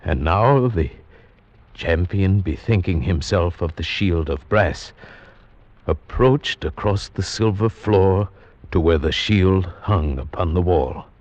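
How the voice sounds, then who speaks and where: Deep-voiced, formal gentleman of horror radio. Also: no room sound. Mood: uneasy. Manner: formal, theatrical, deliberate storytelling. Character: anxious gentleman narrator, houseguest reading an old tale aloud. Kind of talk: 1940s radio horror acting. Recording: dull vintage radio recording with music.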